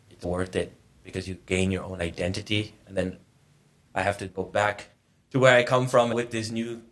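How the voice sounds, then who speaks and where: Male guest, late 20s, from Malaysia; lowish voice, calm and reflective, conversational. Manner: thoughtful, reflective, easygoing storytelling. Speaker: guest, mortgage advisor. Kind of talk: casual interview conversation.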